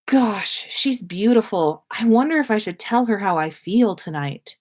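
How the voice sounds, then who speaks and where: Woman acting a breathy, lovesick young woman. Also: mid-range voice, animated, unhurried, a little mellow. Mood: admiring. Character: lovesick young woman pining in private thoughts. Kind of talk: acted inner monologue in a read-aloud story.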